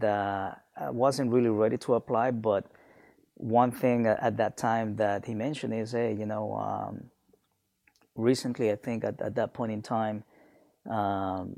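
Reflective man from Honduras, gravelly. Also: on the low side, level tone, measured pace, darkish tone, dry recording. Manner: reflective, many fillers and restarts. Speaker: guest, business alumnus. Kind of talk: conversational interview.

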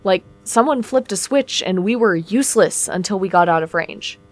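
Blunt woman magic user, acted, mid-pitched. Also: lively intonation, moderate pace, close to an everyday voice. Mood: shaken, intense. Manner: blunt, casual. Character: blunt, down-to-earth female magic user on a covert team. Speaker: voice actor in a fiction podcast. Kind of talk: scripted audio drama acting.

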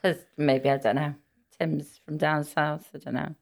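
A middle-aged woman with a Welsh-tinged Liverpool accent, a native Welsh speaker, chatting as a podcast guest. She speaks softly with short replies and frequent hesitations. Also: low-pitched, flat delivery, a little slow, gravelly. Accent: Welsh-influenced Liverpool English. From Liverpool, England. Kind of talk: casual interview chat.

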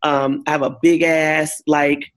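Deep-voiced woman, frank and expressive. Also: unhurried. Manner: frank and expressive, switches into lively quoted voices. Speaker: guest, works with couples. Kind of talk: candid conversational interview.

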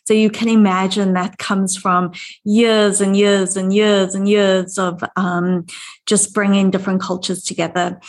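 A woman in her thirties from South Africa with a South African accent, talking enthusiastically as a guest in an interview. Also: mid-range voice. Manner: warm, enthusiastic storytelling. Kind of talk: conversational interview.

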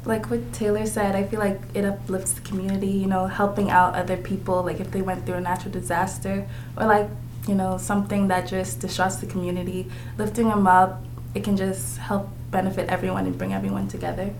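A woman's voice: mid-range voice, little pitch movement, a little fast, a little mellow, slight background noise, poor audio. A teenage girl from the Bahamas, a student guest talking casually with fillers. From Freeport, Bahamas. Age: teens.

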